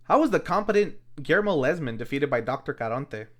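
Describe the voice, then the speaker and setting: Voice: medium pitch, a little fast. A host narrating a scripted comedic film recap in a wry, storytelling way. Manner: wry, animated storytelling with acted quotes. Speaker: host of a film review series.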